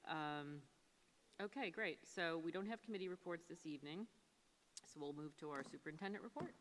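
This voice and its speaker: Low-voiced woman chairing a board meeting. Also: brisk, a crowd in the background. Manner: casual, hesitant with many fillers. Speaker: board of education president.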